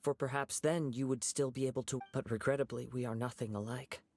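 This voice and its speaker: A man voicing a calm, principled young wandering samurai in a video game, speaking in measured, formal, reflective sentences. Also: medium pitch, no echo, natural voice. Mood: calm, stern.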